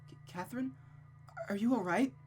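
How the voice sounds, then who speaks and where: A woman's voice: fairly high pitch, moderate pace, a little mellow, soft background music, voice pushed up, close to an everyday voice. A woman voicing an anxious, caring young woman from a visual novel, worried and urgent in manner. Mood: timid, concerned.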